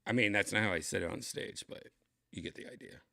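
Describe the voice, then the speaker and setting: A tipsy man with a raspy, dry-throated voice, chatting casually as a comedian hosting his livestream, rambling and clearing his throat often. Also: fairly low pitch, fast, pushed and bright. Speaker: comedian streaming host.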